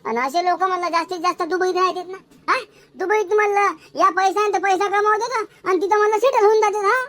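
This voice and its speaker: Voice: extremely high pitch, quick pace, a bit bright. A performer voicing a fretful, distrustful cartoon villager who keeps questioning, with a rural Varhadi Marathi accent. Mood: speculating. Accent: rural Maharashtra, Varhadi Marathi. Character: anxious, cautious villager in a comic cartoon. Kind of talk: comic cartoon dialogue.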